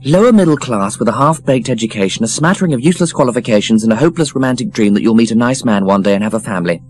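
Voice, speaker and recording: A man's voice: mid-range voice, expressive, rapid-fire, pitched above the performer's own voice, natural voice. A man voicing a snobbish, scathing young woman, blunt and emphatic, for an audiobook. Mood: coolly cutting. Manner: sneering, emphatic, cutting. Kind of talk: audiobook character voice.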